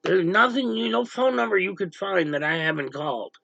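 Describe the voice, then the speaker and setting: A man hosting a casual livestream with a gravelly voice, talking bluntly to viewers and snapping at chatters who annoy him. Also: high voice, lively intonation, a little fast, bright, forceful tone, no echo, lo-fi sound. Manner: blunt, irritated, snaps at chatters. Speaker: livestream host. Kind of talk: casual livestream chat reading viewer comments. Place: dim room at home, power out.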